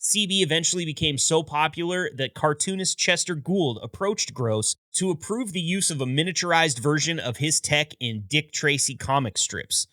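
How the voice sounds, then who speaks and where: Male podcast host, scripted storyteller, crisp forceful tone, slightly high voice, close dry sound. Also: moderate pace, few pauses, dry recording. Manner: upbeat, storytelling delivery with wry asides. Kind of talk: scripted narration.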